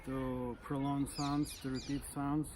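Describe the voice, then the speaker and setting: A man in his forties with a Russian accent talks through his stutter, blocking on sounds and repeating them in casual conversation. His voice sits at an ordinary middle pitch. The recording is noisy, taken outdoors with birds calling behind him. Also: flat delivery, a little slow. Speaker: interview guest.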